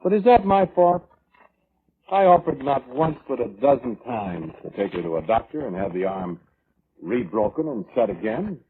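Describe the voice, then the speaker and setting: A tinny, hissy vintage radio drama recording carries a man acting a weaselly brother giving testimony. His lowish voice swings widely as he whines, evades and justifies himself, halting and defensive. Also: lively intonation, lightly acted. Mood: indignant. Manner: defensive, whining, evasive, halting.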